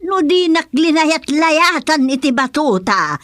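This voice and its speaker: A woman's voice: high-pitched, sing-song, a little slow, fairly bright tone, no room sound, studio quality, full character voice. A woman playing a scolding, nagging village wife in an Ilocano radio comedy drama.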